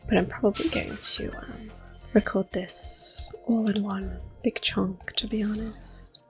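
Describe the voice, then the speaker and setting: A woman in her thirties giving soft-spoken, whispered ASMR commentary while playing a video game, gentle and unhurried. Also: medium pitch, fairly even intonation. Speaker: ASMR gaming host. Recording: soft game music in background.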